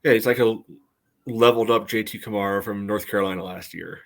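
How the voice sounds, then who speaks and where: A man with a fairly low voice talks as a sports podcast co-host. His analysis is casual and conversational, and he qualifies his points as he goes. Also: steady tone, quick pace, gravelly.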